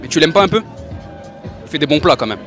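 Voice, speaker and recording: A man's voice: a little high, expressive, fast, a bit bright, faint music behind, some chatter behind. A young man doing cheeky, provocative street interviews on outdoor steps at a carnival, using a handheld mic.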